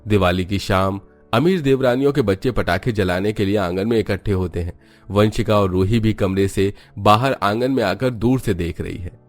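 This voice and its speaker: Low-pitched male cartoon story narrator. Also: moderate pace, faint music behind.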